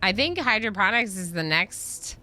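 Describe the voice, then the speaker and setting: A woman's voice: medium pitch, big pitch swings, normal speed, pushed and bright. A woman live-streaming a game, chatting casually with viewers as she plays and reads along. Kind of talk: casual live-stream chat while playing a role-playing game.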